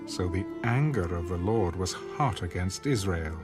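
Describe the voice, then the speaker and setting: Guy narrating audio bible, kinda low voice, solemn and measured, music behind. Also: lively intonation. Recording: music under the voice.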